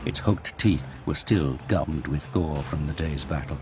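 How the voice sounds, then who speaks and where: A man's voice: extremely low pitch, a little slow, music in the background. A man narrating an audio drama in a dramatic, scripted storytelling style. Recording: clear background noise and ambience.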